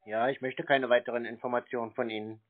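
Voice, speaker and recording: A man's voice: mid-range voice, level tone, fast. A man on a phone line answering a telemarketer, stubborn and acting clueless. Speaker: call recipient baiting a telemarketer. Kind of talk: phone conversation. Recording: narrow tinny phone line.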